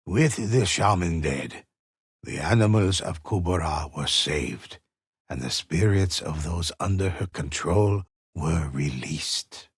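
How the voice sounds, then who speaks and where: An actor playing a grave old male storyteller in a video game, telling ghost legends in a solemn, ominous, measured way with a gravelly, husky voice. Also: deep voice, no echo, studio quality, full character voice. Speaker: narrator.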